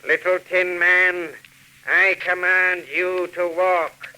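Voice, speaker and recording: An actor playing a shrewd, mercenary villain in a 1940s radio drama, speaking in a precise, theatrical, imperious way. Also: male voice, high-pitched, completely flat delivery, slow pace. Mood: proud, showy. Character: shrewd, mercenary male villain selling a stolen robot. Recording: noisy old 1940s radio recording.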